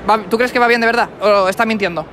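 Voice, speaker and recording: A man's voice: high-pitched, quick pace, background noise. Brash young man. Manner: brash, mocking, playful insults. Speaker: host of street quiz. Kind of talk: teasing street quiz interview. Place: indoor convention hall. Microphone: handheld mic with furry windscreen.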